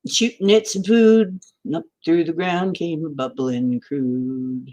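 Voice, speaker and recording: A woman's voice: low voice, fairly even intonation, takes it slowly, dark tone. Rambling woman in her sixties. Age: 60s. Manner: chatty, meandering, answers viewer comments. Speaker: livestream host. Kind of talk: rambling livestream chat.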